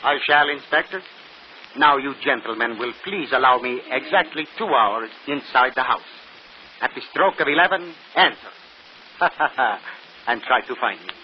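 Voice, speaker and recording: Male actor as courteous, boastful showman magician, deliberate and grandiose, on a dull, hissy old radio broadcast. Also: medium pitch, animated, lightly acted. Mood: grandly confident. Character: theatrical, boastful stage magician, courteous and confident. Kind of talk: old-time radio mystery acting.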